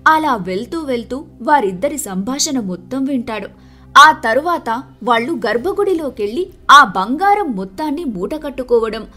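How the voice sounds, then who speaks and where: A woman's voice: high voice, pushed and bright. A woman narrating a scripted moral story as the storyteller.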